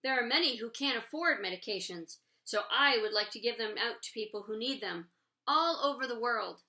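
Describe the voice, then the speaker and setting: A woman's voice: fairly high pitch, expressive, normal speed, sharp tone. Woman reading as a precise, brainy schoolboy. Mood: matter-of-fact. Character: brainy, matter-of-fact schoolboy, mild and precise.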